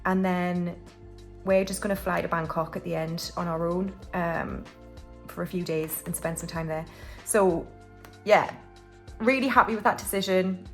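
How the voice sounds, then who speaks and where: A woman's voice: on the low side, fairly even intonation, moderate pace, soft background music. Chatty British woman in her forties. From United Kingdom. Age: 40s. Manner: chatty and rambling, with frequent restarts and fillers. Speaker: budgeting vlogger. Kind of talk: casual chat while stuffing cash envelopes.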